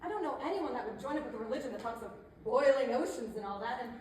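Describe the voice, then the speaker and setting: A woman's voice: fairly high pitch, lively intonation, quick pace, darkish tone, lightly acted. A woman playing a chatty, pushy but tactful image consultant in a stage comedy, conversational and persuasive with hesitant asides. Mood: tactful, musing. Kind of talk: theatrical stage comedy acting. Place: indoor theater stage. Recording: echoey theater, distant mic, faint noise.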